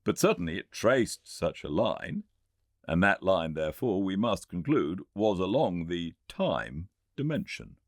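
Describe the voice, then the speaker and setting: Man playing a deep-voiced, animated British gentleman inventor. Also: no echo, studio quality, heavily acted. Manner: emphatic, didactic, pauses to make points. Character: Victorian gentleman inventor lecturing with eager, confident authority. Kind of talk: audiobook character dialogue.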